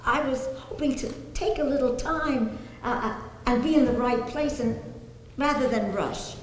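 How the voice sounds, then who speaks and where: A woman's voice: high voice, normal speed, natural voice. A woman on stage playing a hesitant, unsure client in a play. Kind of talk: live stage play dialogue. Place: theatre stage, recorded from a distance. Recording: very echoey hall, faint noise.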